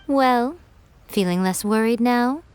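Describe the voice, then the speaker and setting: A woman's voice: fairly high pitch, slow pace, smooth. A woman voicing a sly, self-assured fox shrine maiden, composed and teasing, in an English video game dub. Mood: amused, knowing.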